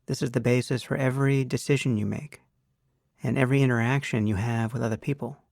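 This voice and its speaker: A man leading a guided meditation as a teacher, speaking in an unhurried, measured way with long pauses. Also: mid-range voice, steady tone, gravelly, darkish tone, no echo, studio quality.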